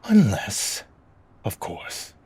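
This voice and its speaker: An actor playing an irritable, scheming, sarcastic mayor in an audio drama, with theatrical emphasis and a husky voice. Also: male voice, drawn-out words, a little mellow. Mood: scornful. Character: irritable, scheming big-city mayor, self-serving and sarcastic.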